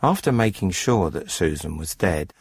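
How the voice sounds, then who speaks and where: A deep-voiced, coldly methodical murderer. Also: male voice, expressive, moderate pace, breathy edge, dry recording, heavily acted. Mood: coolly matter-of-fact. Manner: matter-of-fact, formal, unrepentant. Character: cold, methodical male poultry farmer confessing to murder. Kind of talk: first-person radio drama narration.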